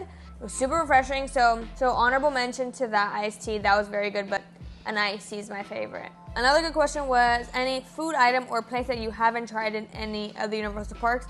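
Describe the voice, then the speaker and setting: A woman's voice: a little high, a bit bright. Bubbly young Miami woman vlogging. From Miami, USA. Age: 20s. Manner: bubbly, chatty, gushing. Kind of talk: casual Q&A chat to camera. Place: bedroom with Disney ears on the wall.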